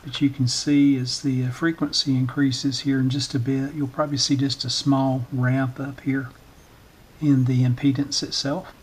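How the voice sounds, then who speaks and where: A man giving an informal hands-on demonstration in short, halting, hesitant phrases, talking at a workbench indoors. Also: mid-range voice, flat delivery, husky, rough recording. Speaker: electronics repair channel host, demonstrator.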